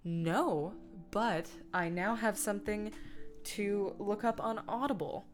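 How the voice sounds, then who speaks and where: Slow-talking, playful woman livestreamer over guitar music. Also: mid-range voice, steady tone. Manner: playful, silly, self-mocking asides; mutters and hums to herself while working. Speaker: streamer and host. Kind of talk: casual livestream chat while editing. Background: background guitar music.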